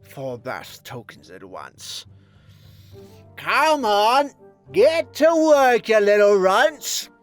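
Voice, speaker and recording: A man's voice: high voice, very animated, extremely slow, faint music behind, heavily acted. Grumpy old boiler man voiced by a man. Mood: irritated, bossy. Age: older. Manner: barking, irritable orders. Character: grumpy old spider-limbed boiler man, bossy and gruff. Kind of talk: amateur cartoon voice-acting demo.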